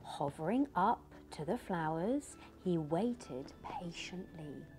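A middle-aged woman reading a picture book aloud to young children in an expressive, teacherly way. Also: fairly low pitch, takes it slowly. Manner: asks listeners simple questions, teacherly. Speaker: children's storybook reader. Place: furnished living room by a fireplace. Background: music.

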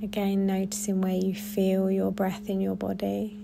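A woman guiding a body scan meditation in a gentle, soothing, unhurried way with long pauses. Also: mid-range voice, completely flat delivery, vocal fry, noisy background. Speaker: meditation guide.

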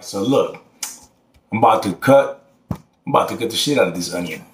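A man's voice: on the low side, lively intonation, normal speed. Middle-aged guy cooking in his kitchen, cocky and joking, slangy, camera on the counter, noisy. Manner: boastful, joking, streetwise slang. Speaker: home cooking vlogger. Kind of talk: casual cooking vlog with banter. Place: home kitchen, indoors. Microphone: camera at counter level. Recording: noisy kitchen with chopping clatter.

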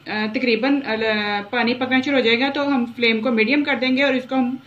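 A woman hosting a home cooking channel, talking through each step of a recipe at the stove in an unhurried, repetitive way. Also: sits high, steady tone, fairly bright tone, lo-fi sound. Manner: unhurried, repeats each step as she works. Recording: echoey kitchen with sizzling noise. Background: frying and sizzling from the pot.

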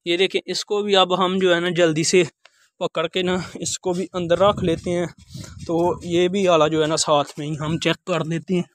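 Chatty young man, high voice, village courtyard. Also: level tone, normal speed, no echo. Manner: chatty, repeats filler phrases, addresses viewers as guys. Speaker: village life vlogger. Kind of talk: casual walkthrough narration. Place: outdoor village courtyard with poultry. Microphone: phone held at arm's length.

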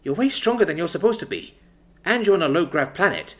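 A man's voice: high-pitched, animated, fast talker, a bit bright, voice pushed up. A man voicing a brusque, exasperated female military captain who talks in curt, sarcastic lines.

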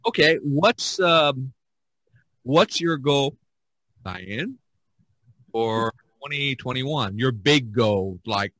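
A man hosting a casual podcast chat, talking in a halting, rambling way. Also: medium pitch, lively intonation, a bit bright, no room sound, poor audio. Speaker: podcast host.

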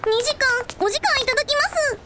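A woman's voice: very high voice, fairly quick, pushed and bright. A woman playing a cheerful, polite teenage schoolgirl history fan in a radio drama. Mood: bright, polite.

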